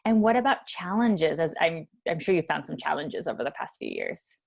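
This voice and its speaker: Lowish-voiced woman host, chatty and encouraging, asks questions. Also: expressive, moderate pace, gravelly, darkish tone. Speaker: podcast host, co-founder. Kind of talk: casual interview questions.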